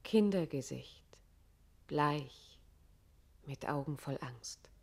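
An actress playing a grave, poetic narrator, reciting literary radio play text in a measured, solemn way. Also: female voice, low-pitched, a little mellow, husky, full character voice. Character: grave, poetic female narrator evoking roads and places.

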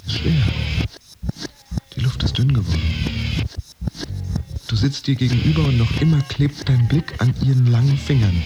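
Sardonic laid off office worker talking to himself, radio play, medium pitched voice, music behind. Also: male voice. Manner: sardonic, self-addressing, vivid. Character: laid-off office worker, ironic, agitated, narrating himself in second person. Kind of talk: radio drama inner monologue.

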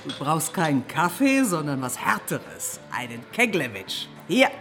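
Blunt, lively barmaid over music. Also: female voice, low voice, measured pace, full character voice. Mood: gruff, kindly. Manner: blunt, bossy but kindly. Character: brisk, motherly barmaid at closing time. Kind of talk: radio drama acting.

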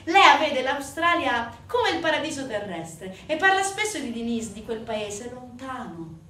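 A woman performing a theatrical narrative monologue, emphatic, with deliberate pauses and swings between hushed and forceful delivery. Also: high voice, big pitch swings. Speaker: stage actress and narrator. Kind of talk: dramatic theatrical monologue storytelling. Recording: distant, echoey room recording with faint noise.

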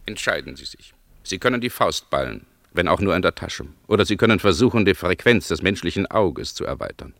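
A detached observer in a poetic radio play. His voice is low and closely recorded in a dry studio sound, delivering terse, incantatory fragments. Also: animated, fairly quick, vocal fry, fairly bright tone, dry recording, exaggerated voice. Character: detached male observer listing poetic city impressions. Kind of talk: poetic radio play montage, clipped phrases.

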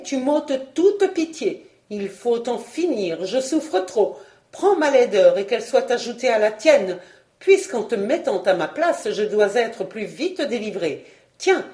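A woman's voice: medium pitch, a little mellow, roomy sound. A woman voicing a vain, imperious frog fairy queen in a storybook reading, with theatrical, bossy exclamations and a cruel temper. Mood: furious.

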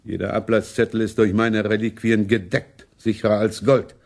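A man's voice: fairly low pitch, level tone, moderate pace, creaky. A man acting a money-minded, imperious German prince in a radio play, sardonic and complaining in manner. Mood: aggrieved.

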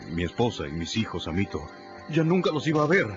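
A man's voice: lowish voice, big pitch swings, brisk. A man playing a timid, superstitious runaway slave in a radio drama, humble and deferential, with a stammering, pleading manner. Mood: anxious, confiding. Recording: muffled radio production with music behind.

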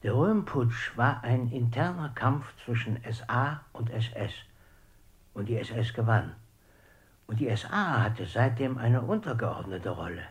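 An actor playing an opinionated eyewitness who looks back firmly and tersely in a re-enacted documentary interview. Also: male voice, medium pitch, big pitch swings, unhurried. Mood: firm, contradicting. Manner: emphatic, terse, matter-of-fact. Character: opinionated male eyewitness looking back, firm and contradicting.

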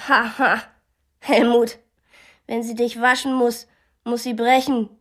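A woman's voice: a little high, level tone, slow pace, a bit bright. An actress playing an eager, bossy schoolgirl in a German radio drama, play-acting with her sister. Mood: playful, boastful.

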